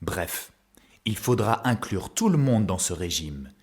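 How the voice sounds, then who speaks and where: A man narrating an animated show for children in a mock-formal storytelling manner. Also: sits low, unhurried. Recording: roomy echo, music behind. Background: music.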